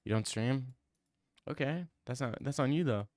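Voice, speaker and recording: A man's voice: medium pitch, normal speed, a little mellow, no room sound, studio quality. A young man streaming and answering viewer comments in a casual, teasing way, full of mock bravado.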